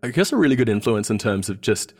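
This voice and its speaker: A man's voice: lowish voice, sing-song, fast talker, darkish tone. An Australian man in his thirties chatting casually as a podcast guest, hesitant and searching for his words. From Australia, born in New Zealand. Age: 30s. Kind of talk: casual interview chat.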